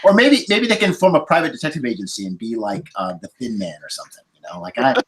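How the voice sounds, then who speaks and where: A man with a medium-pitched voice talks casually on a discussion podcast. He muses aloud, pausing and restarting as he shapes each thought. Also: expressive. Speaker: podcast panelist. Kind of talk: casual roundtable film discussion.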